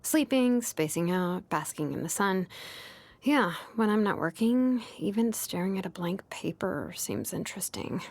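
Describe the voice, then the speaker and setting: A woman voicing a laid-back, sleepy young female blacksmith and name engraver from a video game, languid and nonchalant with soft hesitations. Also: mid-range voice, animated, measured pace. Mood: lazy, weary. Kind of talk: video game character voice lines. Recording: music behind the voice. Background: music.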